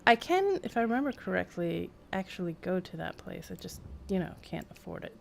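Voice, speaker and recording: A woman's voice: on the low side, sing-song, fairly quick, vocal fry, a little hiss or hum. A woman giving casual live-stream game commentary, rambling as she thinks aloud, with wry asides.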